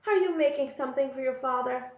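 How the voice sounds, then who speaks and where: A woman reads as a practical, wary storybook mother, terse and full of questions. Her voice lifts higher, in a roomy, slightly dull recording. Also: fast. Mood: wary, questioning. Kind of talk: storybook character reading.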